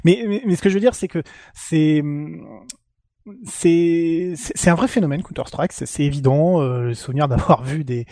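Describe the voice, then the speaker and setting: Halting man chatting on a podcast. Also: on the high side, warm tone, no room sound, studio quality. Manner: halting, many restarts and fillers. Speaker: podcast co-host. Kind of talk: casual podcast chat.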